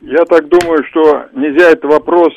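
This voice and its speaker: A man's voice: fairly high pitch, steady tone, normal speed. Emphatic man on a dull phone line. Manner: emphatic, lecturing. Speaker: caller. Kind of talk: phone-in commentary.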